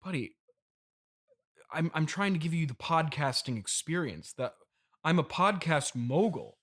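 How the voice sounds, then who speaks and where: A flustered middle-aged dad, acted by a man, hosts a comedy podcast in a fairly high voice with animated, swinging pitch. He stumbles and restarts, forever placating and a little awkward. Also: a little slow, husky, heavily acted. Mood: defensive. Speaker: podcast host. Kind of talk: improvised comedy interview acting.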